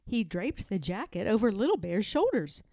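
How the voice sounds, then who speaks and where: A woman reading a children's storybook aloud in a deliberate, expressive manner. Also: medium pitch, no echo, clean studio sound. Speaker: storybook reader. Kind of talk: children's read-aloud narration.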